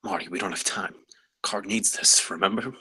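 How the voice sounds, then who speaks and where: A man playing a composed, wizened designer in his sixties at a script table read, husky and assured. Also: sits low, steady tone, moderate pace, sharp tone, no room sound, full character voice. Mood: firm reassurance. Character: wizened 66-year-old veteran designer, composed and focused.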